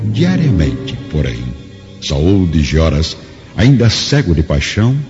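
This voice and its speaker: A man's voice: deep voice, dark tone. A man reading scripted dramatic narration in a solemn, literary manner. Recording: dull hall reverb, music behind.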